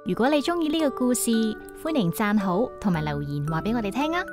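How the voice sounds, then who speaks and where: A woman's voice: medium pitch, big pitch swings, quick pace, over music. A woman with a Hong Kong Cantonese accent delivering a scripted channel promo as an announcer.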